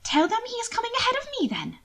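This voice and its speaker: Woman acting a gushing, high-pitched, manipulative young lady. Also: huge pitch swings, quick pace, a bit bright, full character voice. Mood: breezy, scheming. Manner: gushing, affected endearments, coaxing. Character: manipulative, doting upper-class young lady, twin sister. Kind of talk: audiobook character acting.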